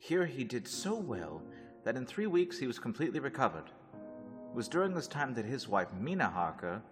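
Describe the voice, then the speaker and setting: An actor playing a male doctor who narrates in a measured, formal radio-drama manner. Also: medium pitch, big pitch swings, long reverb tail, soft background music, natural voice.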